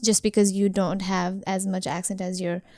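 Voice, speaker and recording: A woman's voice: medium pitch, level tone, normal speed, dark tone, no echo, clean studio sound. A woman from Nepal, a native Nepali speaker, chatting casually about personal experience as a podcast co-host.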